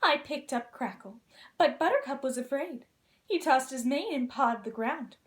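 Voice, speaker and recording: A woman reading a children's storybook aloud in an expressive, gentle princess persona. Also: high-pitched, moderate pace.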